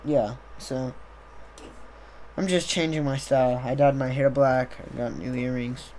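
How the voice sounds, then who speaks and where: A young man, slightly hoarse, chatting casually on a live stream into a desk mic on a boom arm, rambling with many fillers. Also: fairly even intonation, a little slow, noisy background. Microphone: desktop USB mic on a boom arm.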